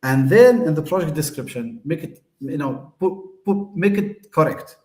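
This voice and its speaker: A man's voice: on the high side, animated, moderate pace, darkish tone. Tunisian man explaining certifications, echoey. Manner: hesitant, many fillers and restarts. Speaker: host. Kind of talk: casual explanatory talk.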